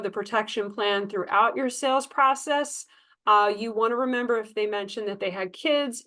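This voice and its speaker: A woman's voice: a little high, a bit bright. A woman working as a sales trainer, talking staff through tips in a conversational, emphatic coaching manner. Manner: coaching, emphatic, conversational, acts out example dialogue. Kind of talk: informal staff training talk.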